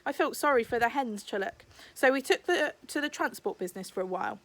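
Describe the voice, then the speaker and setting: A woman's voice: a little high, expressive, fairly quick, dry recording. Woman putting on a gushing, grandiose restaurant-owner uncle. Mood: breezy self-justifying. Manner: gushing, grandiose salesman patter. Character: effusive, wheeling-dealing older uncle running a restaurant.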